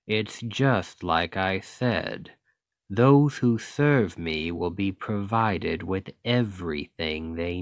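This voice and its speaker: A man voicing a smug, domineering demon king in an ASMR roleplay, speaking in a deliberate, condescending and coaxing way. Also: low-pitched, dry recording. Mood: smug, persuasive. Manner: slow, deliberate, condescending, seductive menace. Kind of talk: scripted ASMR roleplay monologue.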